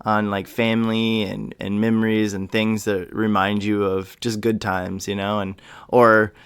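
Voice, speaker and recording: Male podcast interviewer, casual and affirming, clean smooth tone on the low side, dry close sound. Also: level tone, unhurried, no echo. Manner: enthusiastic, affirming. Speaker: podcast host.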